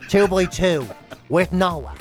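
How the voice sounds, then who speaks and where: A man's voice: high-pitched, takes it slowly, soft background music. An Irish man co-hosting a radio show with teasing, rambling comic banter, acting out his examples as he goes.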